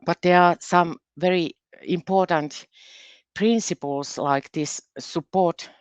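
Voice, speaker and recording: A woman with a Finnish accent and Finnish as her first language gives a careful, halting conference presentation as a university research specialist, often doubling her words. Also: on the low side, clear, resonant tone, no echo. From Finland.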